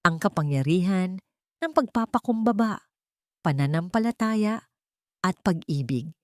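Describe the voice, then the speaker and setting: A woman narrating a scripted audio drama story in a sentimental, earnest way, with measured phrasing and dramatic pauses. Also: on the low side, animated, a little mellow, no echo, clean studio sound.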